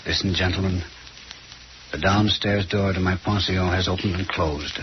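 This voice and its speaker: An actor playing a confident, condescending detective who lectures and teases in an old-time radio mystery. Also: male voice, sits low, steady tone, normal speed, lightly acted. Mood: tense, commanding. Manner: dramatic, lecturing, teasing. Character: confident, theatrical male detective, shrewd and condescending. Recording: muffled, noisy old radio recording.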